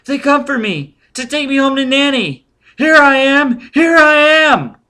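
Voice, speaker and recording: A man's voice: slow pace, pushed and bright, exaggerated voice. A man voicing a frightened, homesick small boy who whimpers and pleads in an audiobook story. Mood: excited. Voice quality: high, whimpering. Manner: pleading, childlike repetition.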